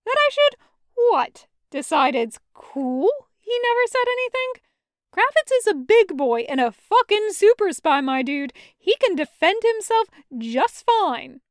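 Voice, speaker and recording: A woman's voice: very high voice, sing-song, measured pace, dry recording, studio quality, voice pushed up, full character voice. A woman voicing a brash, hurt, sarcastic man in an audio story, with emphatic slangy delivery. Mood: indignant.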